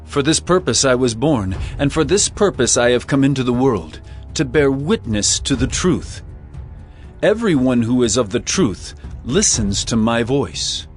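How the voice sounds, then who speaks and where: Casting: male actor as calm accused prophet, biblical drama. Medium register, expressive melody, solemn and measured. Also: mid-range voice, faint music behind, close to an everyday voice. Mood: solemn, steadfast. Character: Jesus, calm, steadfast accused holy teacher. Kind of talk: dramatized scripture acting.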